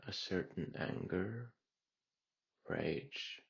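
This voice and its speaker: Slow, gravelly low-voiced man guiding meditation. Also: dull audio. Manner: calm, unhurried, with long pauses. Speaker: meditation guide. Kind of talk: guided meditation.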